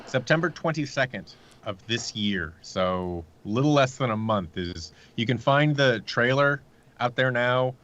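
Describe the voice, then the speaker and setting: A man's voice: medium pitch, animated, unhurried, fairly bright tone, muffled recording. A man speaking casually as a producer being interviewed on a podcast. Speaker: interview guest, audio drama producer.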